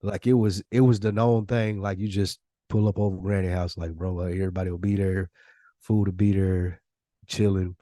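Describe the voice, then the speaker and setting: An American man co-hosting a podcast, telling stories in casual, animated chat with loose slang and free swearing. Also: deep voice, moderate pace, no room sound, studio quality. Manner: slangy, informal, swearing freely. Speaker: podcast co-host.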